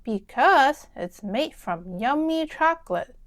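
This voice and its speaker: A woman's voice: high voice, sing-song, takes it slowly, full character voice. A woman voicing a cheerful, easygoing grown male pig, an assured father in a children's storybook.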